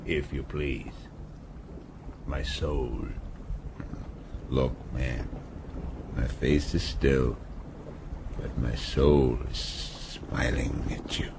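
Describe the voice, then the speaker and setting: A brutal ship's captain brought low by illness, still defiant and mocking. The voice is deep and very slow, deliberate in every phrase, in a radio drama with some background noise. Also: male voice, fairly even intonation, gravelly, heavily acted. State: ailing, weakened. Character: brutal, sardonic ship's captain, ailing and half-paralyzed, defiant.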